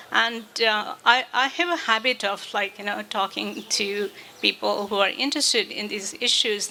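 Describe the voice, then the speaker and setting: Female author on a festival panel, thoughtful, halting delivery, bright tone. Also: a little high, slight background noise, low-quality recording. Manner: reflective, many fillers.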